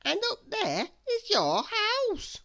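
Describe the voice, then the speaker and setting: A woman voicing a small, kind, worried little lady in a storybook, speaking high and anxiously. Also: huge pitch swings, slow pace, fairly bright tone, full character voice. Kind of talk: storybook character voice.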